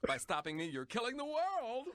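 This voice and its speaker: A man's voice: very high voice, wildly swooping pitch, moderate pace, fairly bright tone, full character voice. A man voicing a menacing, grandiose villain in a fantasy video game. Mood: dramatic, accusing. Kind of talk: video game voice-over session.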